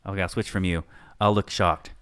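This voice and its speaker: A man's voice: sits low, steady tone, a little fast, a bit bright, smooth. A man hosting a podcast, rambling casually and making self-deprecating jokes. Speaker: podcast host. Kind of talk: casual chat.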